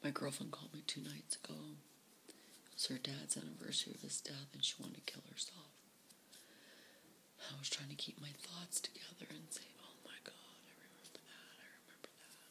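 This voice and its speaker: A woman whispering into her camera for a personal video diary, halting and repeating her words. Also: low-pitched, fairly even intonation, vocal fry, pushed and bright. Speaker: vlogger. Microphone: camera facing her.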